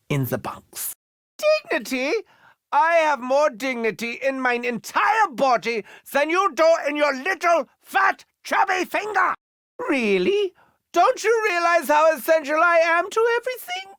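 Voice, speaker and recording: A man's voice: very high voice, very animated, unhurried, a bit bright, dry recording, clean studio sound, full character voice. An actor playing a manic, unhinged German male doctor in a video game, with a German accent and a theatrical, mock-wounded manner. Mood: haughty.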